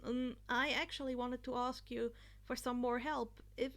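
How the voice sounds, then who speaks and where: A woman voicing a nervous, grateful teenage boy who speaks in a halting, sheepish stammer in an audiobook story. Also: on the high side, level tone, fairly bright tone. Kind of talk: acted fan fiction audiobook dialogue.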